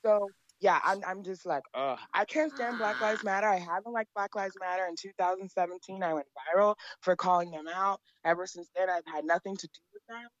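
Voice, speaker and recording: A woman's voice: mid-range voice, brisk, hardly any pauses, lo-fi sound. A woman speaking as an interview guest, blunt and emphatic, repeating her points. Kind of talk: opinionated interview talk.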